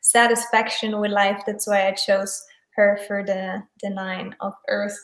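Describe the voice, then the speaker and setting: A woman's voice: medium pitch, level tone, measured pace, echoey room. A woman whose first language is German, a guest chatting casually on a video call, hesitant with many fillers and restarts. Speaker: guest, tarot deck creator and photographer. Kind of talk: casual interview chat.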